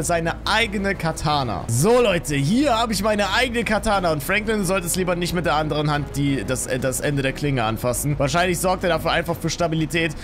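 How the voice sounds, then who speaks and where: A man giving excited, exclamatory let's play commentary, talking to viewers and his game character, close on a studio microphone in a small home room. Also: high voice, a little hiss or hum. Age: 20s. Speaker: gaming YouTuber. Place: small home streaming room with acoustic foam, indoors. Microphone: studio microphone on boom arm, close.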